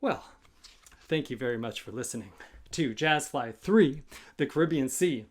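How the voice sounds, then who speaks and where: A man who is a children's author reading a rhyming story aloud with an expressive, chanting, sing-song delivery. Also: mid-range voice, moderate pace. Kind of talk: expressive musical storytime narration in rhyme.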